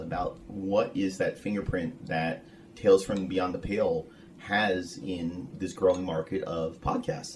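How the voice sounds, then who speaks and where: A man's voice: deep voice, a little mellow, distant mic. A man hosting a casual conversational interview, asking wordy, meandering questions. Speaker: interviewer, podcast host. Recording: noisy room, slightly off mic.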